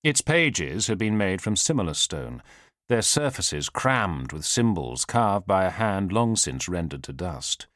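A man reading a thriller audiobook as its narrator, in a measured, solemn, suspenseful way with weighted pauses. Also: sits low, sing-song, a bit bright, no room sound, studio quality.

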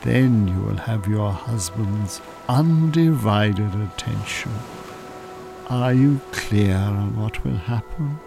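A man's voice: fairly low pitch, takes it slowly, gravelly, over music. A man with an Irish accent playing a calculating con-artist hypnotist in a radio drama, courteous, soothing and unctuous. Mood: soothing, hypnotic. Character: smooth, calculating male con-artist hypnotist posing as scientist.

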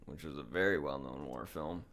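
A man's voice: rumbling low, moderate pace, fairly bright tone. A middle-aged man with an American accent, chatting informally and bluntly as an interviewer, rambling a little. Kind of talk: casual opinionated conversation.

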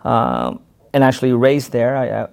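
Gravelly male interview guest from the Philippines; halting, filler-heavy, relaxed storytelling. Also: mid-range voice. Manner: halting, many fillers and restarts. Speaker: guest, self-defense instructor. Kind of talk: casual interview conversation.

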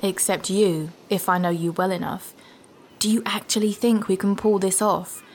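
An earnest young woman on her first adventure, played by an actress, talks at a medium pitch. She sounds natural and thoughtful, in fantasy audio drama style, with some ambient noise behind her. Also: steady tone, normal speed, a little mellow, lightly acted. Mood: anxious. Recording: noticeable ambient background noise.

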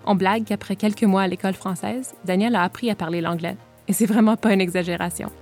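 A young woman from eastern Ontario, interviewing her younger brother with gentle, prompting questions. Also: mid-range voice, steady tone, quick pace, faint music behind. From Eastern Ontario, Canada. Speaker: interviewer, older sister. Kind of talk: casual interview.

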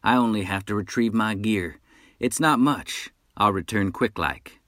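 A man voicing a loud, talkative male wolf hunter who brags in a folksy, brash way, in a storybook reading. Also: fairly low pitch, unhurried, no room sound, clean studio sound, voice pushed up. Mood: boastful.

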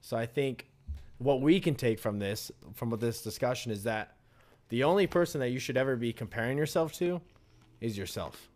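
A man's voice: mid-range voice, normal speed, darkish tone. A man co-hosting a casual talk show, rambling loosely with swearing and joking asides. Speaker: podcast co-host. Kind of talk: casual chat.

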